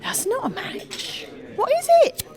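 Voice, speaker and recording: A woman's voice: a little slow, a little mellow, natural voice. A woman playing a sweet, trusting older London pub regular in a comedy radio play, kindly and easily impressed. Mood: grateful, curious.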